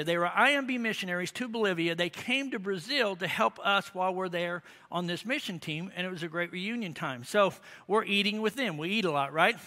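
Bright, high-voiced preacher in a hall. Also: male voice. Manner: storytelling, animated and humorous with rising emphasis. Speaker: pastor. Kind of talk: sermon.

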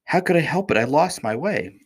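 Man as royal nursemaid, anxious woman, easily offended, fretful, storybook, lifted pitch, dry recording. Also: animated, brisk, husky, no echo. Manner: fretful, scolding.

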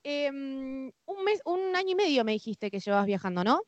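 Rioplatense woman hosting, high dry voice. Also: sing-song, moderate pace, dry recording, muffled recording. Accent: Rioplatense Spanish. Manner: enthusiastic. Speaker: podcast host. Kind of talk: conversational interview.

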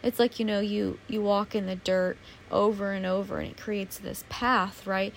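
A young woman with a naturally low, gravelly voice talks through a solo podcast episode. She rambles candidly, pokes fun at herself, and swears offhandedly, with faint noise under the recording. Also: flat delivery, normal speed. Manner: rambling, candid, swears casually, self-deprecating. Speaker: podcast host. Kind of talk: casual solo podcast monologue.

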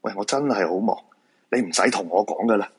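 A reluctant fortune-teller in a radio drama, acted by a man, brusque and exasperated. His voice is low and quite husky, with a Hong Kong Cantonese accent and a dismissive, protesting manner. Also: fast. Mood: flustered, annoyed. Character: reluctant male feng shui fortune-teller, married father, exasperated.

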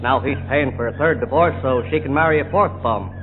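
An actor playing a plainspoken, gossipy middle-aged farmhand and ex-soldier with rustic country speech in a 1940s radio drama. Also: male voice, medium pitch, a little fast, lightly acted. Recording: tinny old radio recording, noisy hum.

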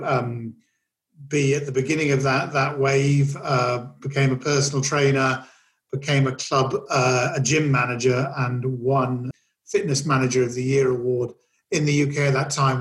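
A middle-aged man from Kenya, a fitness executive talking casually as an interview guest, relaxed and self-deprecating. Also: mid-range voice. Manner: relaxed, self-deprecating, rambling with many fillers and restarts.

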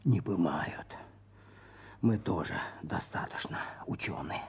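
A hunted peasant husband in a radio play, stubborn yet tender. His voice is husky and hushed, often near a whisper, with slow phrases that swing widely in pitch. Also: animated, exaggerated voice. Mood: hushed defiance. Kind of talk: radio drama acting.

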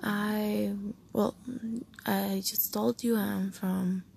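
Teen girl, hesitant half-whisper, very slow and a bit gravelly, faint noise behind. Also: mid-range voice, level tone. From Costa Rica. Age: late teens. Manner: soft, often half-whispered, hesitant with many fillers. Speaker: podcast host. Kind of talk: casual personal monologue.

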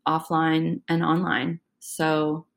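A woman founder speaking as a podcast guest, chatty and excited about her business plans, laughing easily. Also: sits low, takes it slowly. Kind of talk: casual interview chat.

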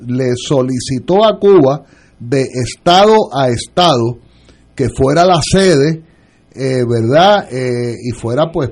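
A man's voice: medium pitch, darkish tone. A man, a lawyer speaking as a guest on a political radio panel, hesitating often with filler sounds and restarts.

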